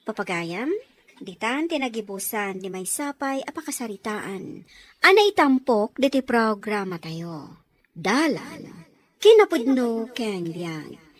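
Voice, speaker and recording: Lively high-voiced woman announcer. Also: takes it slowly. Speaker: radio drama narrator and announcer. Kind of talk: scripted narration.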